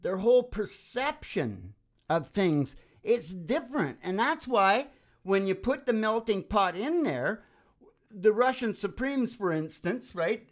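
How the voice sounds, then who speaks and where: Excitable middle-aged man on a rant. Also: high voice, slow pace. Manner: emphatic, excitable, seeking agreement with tag questions. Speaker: live music show host. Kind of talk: opinionated casual rant. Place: small dim wood-panelled room. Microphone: stand microphone.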